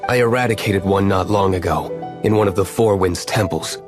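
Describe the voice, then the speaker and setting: A man's voice: deep voice, fairly quick, music in the background, close to an everyday voice. An actor playing an aloof, brooding young nobleman in an anime-style game, cool, curt and serious. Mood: grimly resolute.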